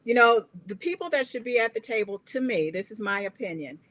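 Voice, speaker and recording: Southern-accented woman on a panel, bright voice, earnest and emphatic. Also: on the high side, lively intonation, a little fast. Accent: Southern American. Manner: earnest, builds stories to emphatic points. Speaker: guest. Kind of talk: panel conversation.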